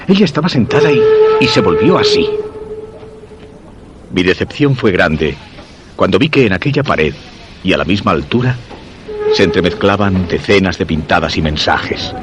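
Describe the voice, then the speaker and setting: An actor from radio theatre playing an obsessed, lovelorn commuter who narrates his search in brooding, deliberate Castilian Spanish. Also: deep voice. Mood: searching, intense. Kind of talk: dramatic first-person radio theatre narration.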